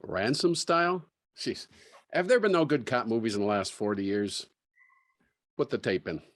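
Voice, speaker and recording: A man's voice: mid-range voice, normal speed, close to an everyday voice. An actor playing a brusque, weary old-school police superintendent in his fifties, dry and impatient.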